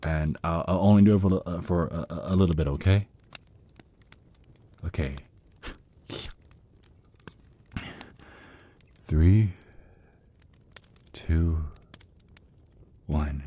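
Man playing a bashful vampire suitor, deep pitch, unhurried, flustered stutter, hesitant, ASMR roleplay. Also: dark tone. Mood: anxious, careful. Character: shy, nervous, smitten male vampire on a first date.

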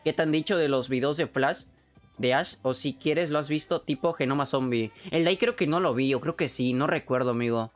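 A young man from Peru with a Mexican Spanish accent, chatting casually on a live stream, joking, excitable and full of slang. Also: mid-range voice, fairly quick, soft background music. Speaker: streamer and animator.

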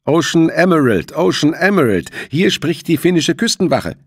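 Man as a high-pitched, formal coast guard officer. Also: sing-song, moderate pace, breathy edge, no echo, studio quality, heavily acted. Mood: formal, composed. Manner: formal radio hailing, unexcited. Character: calm, official male coast guard lieutenant.

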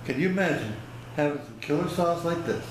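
Middle aged guy rambling into his webcam, kinda high voice, super echoey little room. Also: animated, a little fast. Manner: rambling, folksy, loses his words. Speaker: vlogger. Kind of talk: casual product review vlog. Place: small indoor room by a plain wall. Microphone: webcam at close range. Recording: very echoey room, faint hiss.

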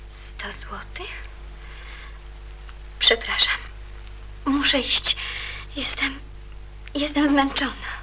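A woman's voice: high-pitched, very slow, pauses often, pushed and bright, whispered, exaggerated voice. An actress playing a proud, stubborn young girl in a radio drama, curt and reserved. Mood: subdued, uneasy. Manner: curt, reproachful or politely reserved. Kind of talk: Polish radio drama acting.

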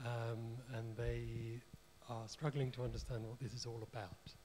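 A man chairing a seminar, relaxed and brisk as he passes on audience questions in a lecture room. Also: medium pitch, slight background noise. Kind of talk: moderating questions.

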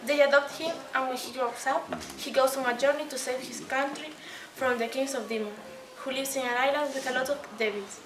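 High-voiced young woman presenting. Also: fairly even intonation, a little fast, few pauses. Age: young adult. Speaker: student presenter. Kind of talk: reading a scripted story introduction. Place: indoor room, standing before distant camera. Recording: echoey room, distant mic, background noise.

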